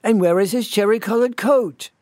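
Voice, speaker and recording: A man voicing a poor, weary old tailor in a storybook, lamenting slowly in a put-on British accent. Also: high voice, darkish tone, no room sound, pitched above the performer's own voice, natural voice. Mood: mournful despair. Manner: lamenting, slow, plaintive.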